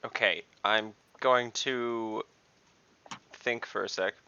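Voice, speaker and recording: Gravelly, bright-edged male player. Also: fairly low pitch, fairly even intonation, slow pace, muffled recording. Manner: hesitant, counting things out, muttering asides. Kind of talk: thinking aloud through his turn.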